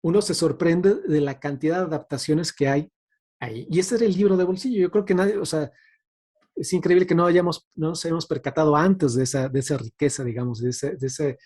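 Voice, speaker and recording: A man's voice: a little high, a little fast, darkish tone. A man giving an informal academic talk, speaking thoughtfully and hesitantly and often checking for agreement. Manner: hesitant, thoughtful, frequently seeking agreement with tags. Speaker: guest speaker giving a talk on radio drama.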